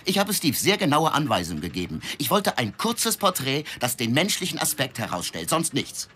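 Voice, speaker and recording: An actor playing a stern, aggrieved newspaper editor in a German radio play, formal and firmly controlled. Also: male voice, mid-range voice, huge pitch swings, pushed and bright. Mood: stern, annoyed. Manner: formal, measured, firm.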